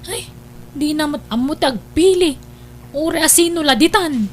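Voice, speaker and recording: High-voiced nagging Ilocano big sister played by an actress. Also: female voice, animated, normal speed. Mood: worried, prying. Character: nagging, worried older sister scolding her brother. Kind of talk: Ilocano radio-style audio drama acting.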